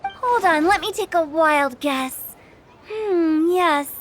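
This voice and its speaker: A woman's voice: very high voice, slow pace, background noise. A woman voicing a playful, mischievous young funeral parlor director in a game, teasing and theatrical. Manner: teasing, theatrical, sing-song. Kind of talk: game cutscene voice acting.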